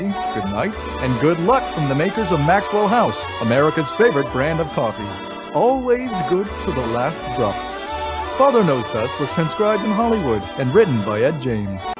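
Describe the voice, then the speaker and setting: A man announcing and reading ads in a polished, emphatic old-time radio style. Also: a little high. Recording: dull lo-fi radio sound, music behind.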